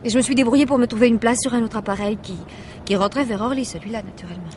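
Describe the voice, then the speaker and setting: Casting: actress for anxious married traveller in radio mystery, fairly high voice, halting delivery, faint vehicle noise behind. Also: female voice, a little mellow, lightly acted. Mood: uneasy relief. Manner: hesitant, breaking off and restarting sentences. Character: anxious, hesitant married woman traveller confiding in her driver. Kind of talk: French radio mystery drama acting.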